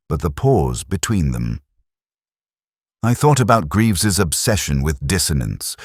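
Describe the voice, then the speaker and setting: A man acting as a melancholy detective tells his mystery in the first person, in an extremely deep voice. His delivery is reflective and literary, with wide swings of intonation over measured phrases. The sound is dry and intimate, like a scripted audio drama. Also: no echo, heavily acted. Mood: wry, reflective. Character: brooding, melancholy male police inspector narrating his case.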